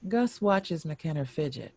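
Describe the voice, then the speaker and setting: A man's voice: on the high side, very animated, a little slow, a little mellow, no echo. Dry, close-sounding male screenplay narrator. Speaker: stage-direction narrator.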